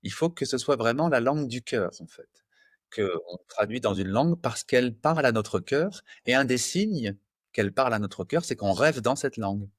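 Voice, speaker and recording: A man in his fifties from France, a translator answering interview questions in a patient, measured way with many pauses. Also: medium pitch, animated, no echo, clean studio sound. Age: 50s. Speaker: guest, translator.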